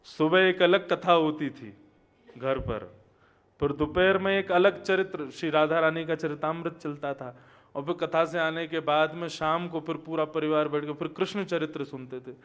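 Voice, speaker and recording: A young man giving a devotional sermon, telling stories and chanting verses, quiet one moment and loud and emphatic the next. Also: on the high side, fairly even intonation, normal speed, clear, resonant tone. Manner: storytelling, swings from quiet to loud emphatic exclamations. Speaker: Bhagavat katha preacher.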